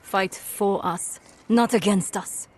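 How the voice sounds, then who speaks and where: A woman's voice: mid-range voice, takes it slowly, darkish tone, a little hiss or hum, close to an everyday voice. An actress playing a commanding, menacing female raider chief in a video game, sardonic and dry. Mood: cold, suspicious. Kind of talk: video game cutscene acting.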